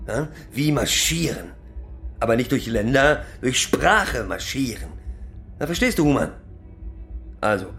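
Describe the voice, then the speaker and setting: An actor playing a rambling, proudly sentimental middle-aged pub drinker who lectures and recites poetry in a halting, self-correcting way. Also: male voice, fairly high pitch, creaky, fairly bright tone, full character voice. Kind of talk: theatrical monologue with halting recitation.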